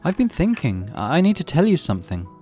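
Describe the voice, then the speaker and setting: A man voicing a gentle, chatty teenage girl who speaks with pity in a visual novel. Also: a little high, huge pitch swings, fast, soft background music, voice pushed up. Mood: soft, earnest.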